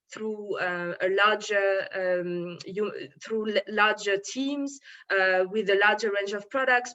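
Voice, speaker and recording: A woman from Congo, raised in France, giving an online presentation on investment, pausing often with filler sounds and restarting sentences. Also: mid-range voice, sharp tone, muffled recording. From Congo, grew up in France. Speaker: webinar presenter, impact investor.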